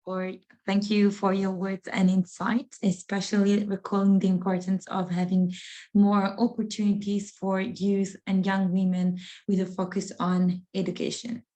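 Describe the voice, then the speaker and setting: A woman's voice: medium pitch, completely flat delivery, unhurried, dark tone. A woman acting as moderator, formally thanking and introducing speakers at a forum.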